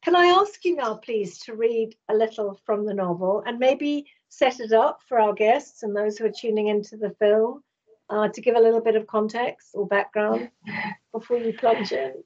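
A woman's voice: a little high, moderate pace, a little mellow. A middle-aged woman hosting an interview over a video call from home, speaking in long, flowing, carefully phrased sentences. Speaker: host. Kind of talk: interview host questions. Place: home room. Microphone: video call, laptop mic. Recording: slightly dull video call audio.

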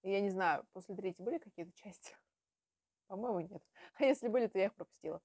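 A woman's voice: medium pitch, quick pace, darkish tone, no echo, studio quality. A woman in her twenties giving casual, chatty reaction commentary with some free swearing, indoors at home. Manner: informal, chatty, swears freely. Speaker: host of reaction videos. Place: small home room with bookshelves, indoors.

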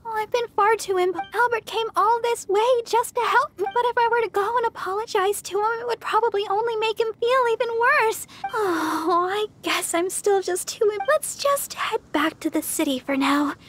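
A woman voicing a sweet, earnest young church deaconess in a video game, speaking politely, gently and hesitantly. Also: very high voice, moderate pace, hardly any pauses. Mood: apologetic. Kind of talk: video game character dialogue.

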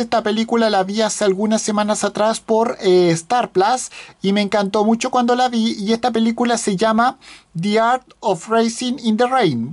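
A woman's voice: fairly high pitch, darkish tone. A woman reviewing a film in casual talk, hesitant, with many fillers and restarts. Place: indoors, at a computer screen.

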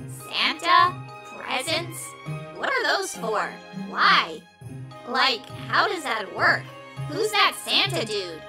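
Woman as a small cartoon flower, high voice, unhurried, snippy, bossy, sarcastic, music behind. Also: sing-song, full character voice. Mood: agitated. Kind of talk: comic dub voice acting.

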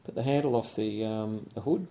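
Gravelly man rambling casually. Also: fairly low pitch, brisk, mellow, a little hiss or hum. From Australia. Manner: rambling, hesitant with many fillers. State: hoarse after a night out. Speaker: channel host. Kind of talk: casual vlog. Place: cluttered garage workshop.

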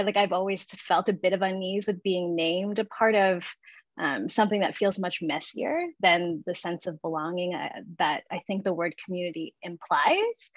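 A woman's voice: medium pitch, no room sound. Reflective woman on a dull video call. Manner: measured, reflective, thinking aloud. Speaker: artist and guest speaker. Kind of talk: reflective conversation. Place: living room at home. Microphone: video call with earbuds.

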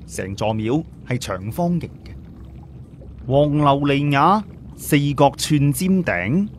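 Cantonese guy narrating an audiobook, dramatic storyteller, very lively pitch, bit of background noise. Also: takes it slowly.

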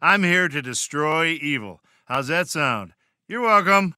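An actor playing a swaggering monster-slaying action hero in a video game, with cocky, wisecracking delivery. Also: male voice, a little high, animated, measured pace, bright, forceful tone, clean tone, dry recording, clean studio sound, full character voice.

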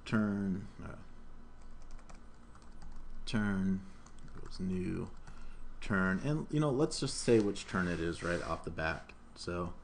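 Low-voiced man thinking aloud while coding. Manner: informal step-by-step narration of own actions. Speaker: programming instructor. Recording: noisy room mic with keyboard typing. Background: keyboard typing, room noise.

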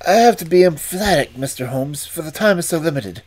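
Reader voicing a panicky, breathless man, raised and loud, pitch swinging, urgent. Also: big pitch swings. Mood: agitated. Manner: agitated, emphatic, rushed. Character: frightened, breathless male estate manager. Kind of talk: audiobook reading.

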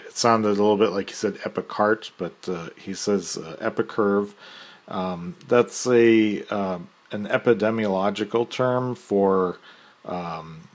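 A man from the United States talks through the explanation in an unhurried, hesitant way, stopping often to search for words. His voice sits very low. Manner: relaxed, hesitant, full of fillers. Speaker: podcast host and commentator. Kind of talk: explanatory commentary.

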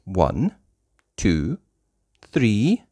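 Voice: sits low, wildly swooping pitch, drawn-out words, mellow. A host reading a counting picture book aloud to young children in a sing-song, exaggerated way.

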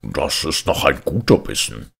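A man's voice: extremely low pitch, very animated, a little slow, gravelly, fairly bright tone, slightly rough, exaggerated voice. A man voicing a hungry, menacing man-eating ogre in a storybook fairy tale. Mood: hungry menace.

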